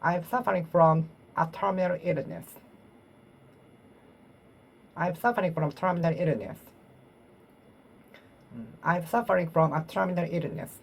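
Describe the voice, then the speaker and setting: A woman's voice: lowish voice, gravelly. A woman with a Japanese accent practicing English alone, repeating phrases over and over and correcting herself as she goes. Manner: repeats and reworks phrases, pausing and self-correcting. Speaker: English learner. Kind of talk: solo speaking practice, talking to herself.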